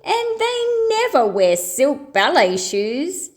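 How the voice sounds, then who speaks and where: Woman acting out a storybook little brother kangaroo, very high pitch, swooping intonation, bossy, scornful, emphatic scolding. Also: wildly swooping pitch, slow, full character voice. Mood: dismissive. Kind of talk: children's storybook read-aloud.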